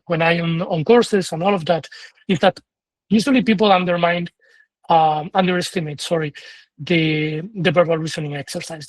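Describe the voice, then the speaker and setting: A man's voice: high voice, no echo, low-quality recording. A man from Spain speaking English with a Spanish accent, giving advice as an exam trainer in an online session, in short phrases with frequent fillers and restarts. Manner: earnest, advising tone.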